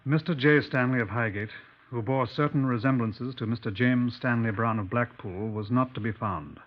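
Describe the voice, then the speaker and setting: A man playing a measured, persistent Scotland Yard inspector who narrates a 1950s radio crime drama. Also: medium pitch, lightly acted. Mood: measured narration. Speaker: narrator and lead actor. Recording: dull 1950s radio recording.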